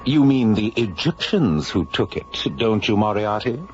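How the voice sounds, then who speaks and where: Man as pompous great detective, lowish lively voice. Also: moderate pace, lo-fi sound, slight background noise, natural voice. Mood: wry, challenging. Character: shrewd, self-assured male consulting detective. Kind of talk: radio drama acting. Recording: band-limited old radio recording over music.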